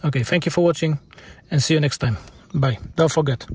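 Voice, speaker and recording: Polish-accented man, slightly husky, higher voice. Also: darkish tone. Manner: halting, non-native English phrasing. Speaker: car mechanic presenting a repair video. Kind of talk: informal hands-on explanation.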